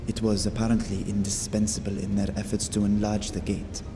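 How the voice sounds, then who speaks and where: A man playing a dignified, measured city ruler who speaks formally and deliberately in a video game cutscene. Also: on the low side, little pitch movement, noisy background, natural voice. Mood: grave, measured.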